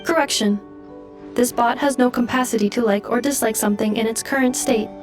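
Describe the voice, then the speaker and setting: Woman as a highish, formal robot companion. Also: fairly quick, faint music behind, close to an everyday voice. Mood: clinical. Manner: formal, matter-of-fact. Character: precise, literal-minded female robot companion. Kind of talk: video game voice acting.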